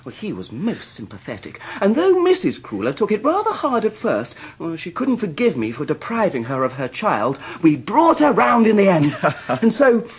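An actor playing an effusive, good-hearted young married man in a radio drama, with a husky voice. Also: high voice, huge pitch swings, moderate pace, full character voice. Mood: fond, proud. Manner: effusive, exclamatory.

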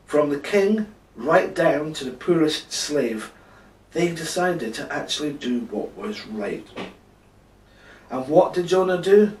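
A man in his thirties telling a children's Bible bedtime story with drawn-out emphasis, seated indoors. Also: fairly high pitch, expressive. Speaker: storyteller for a church. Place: indoor room, seated before a backdrop. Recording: roomy, echoing, distant microphone.

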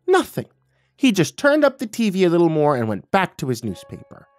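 A man's voice: high-pitched, wildly swooping pitch, a little fast, no echo, faint music behind, voice pushed up. A man voicing an older mother who is passive-aggressive, pouty and pointed, in a dramatized story. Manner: accusatory, guilt-tripping, pointed.

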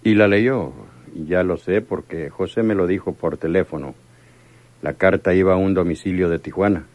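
A man's voice: low-pitched, normal speed, darkish tone, dry recording, natural voice. An actor playing a cold, authoritative male crime boss in a radio drama. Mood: cold, suspicious.

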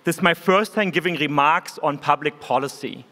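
German-accented man, forties, higher voice, keynote speech, podium mic, long hall reverb. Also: moderate pace, bright, forceful tone. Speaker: keynote speaker. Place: large conference hall stage. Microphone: podium microphone.

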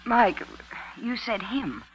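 A woman's voice: a little high, big pitch swings, slow, breathy edge, highs cut off, heavily acted. An American actress playing a detective's playful, curious and persistent girlfriend in an old radio drama. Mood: puzzled. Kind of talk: 1940s-50s radio drama acting. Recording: old radio broadcast recording.

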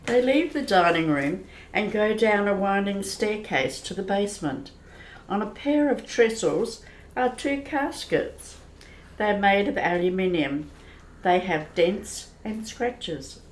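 Female narrator for an old-time radio play, medium pitch, scripted storytelling. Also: animated, slow, a little hiss or hum, rough recording.

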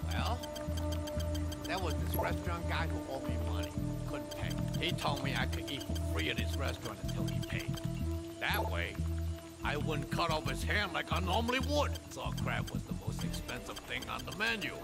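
An actor playing a quirky, boastful petty criminal in a video game, chatty and excitable. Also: male voice, a little high, moderate pace, vocal fry, loud music behind the voice, heavily acted. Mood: rambling, boastful. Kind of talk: video game cutscene acting.

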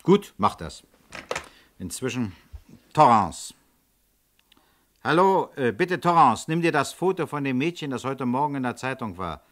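An actor playing a calm, pensive police chief inspector, terse and commanding, in a German radio crime drama. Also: male voice, mid-range voice, a little slow, long pauses. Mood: pensive, decisive.

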